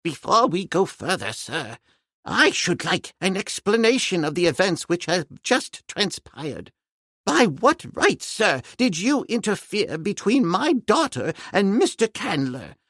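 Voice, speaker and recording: A man's voice: sits high, big pitch swings, unhurried, fairly bright tone, no room sound, clean studio sound, voice pushed up, full character voice. A man doing a flustered old professor. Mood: indignant. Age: older. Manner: formal, indignant, repeats "sir". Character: fussy elderly male professor, proud and flustered. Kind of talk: audiobook character voice.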